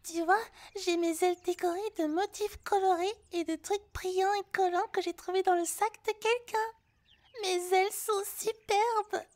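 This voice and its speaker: A woman's voice: extremely high pitch, fairly even intonation, moderate pace, a little mellow. A woman voicing a vain, scatterbrained harpy girl with a childlike, flighty delivery in an ASMR roleplay. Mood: scattered, proud.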